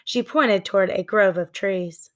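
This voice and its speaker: A woman narrating a children's book in a deliberate, expressive storytelling manner. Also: mid-range voice. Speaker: read-aloud storyteller.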